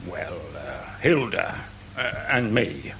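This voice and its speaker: An indignant older British father-in-law in a radio play. He is fussy and insistent, his pitch lively, with a faint hum behind him. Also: slow, heavily acted. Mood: cautioning, uneasy. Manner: blustering, insistent, worried about appearances. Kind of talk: radio drama acting.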